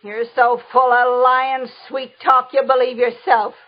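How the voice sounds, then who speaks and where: A woman's voice: high voice, little pitch movement, measured pace. An actress playing a harsh, bitter older washerwoman in an old radio drama, mocking and bullying in rustic, folksy speech. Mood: bitter, scornful. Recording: noisy, dull old radio recording.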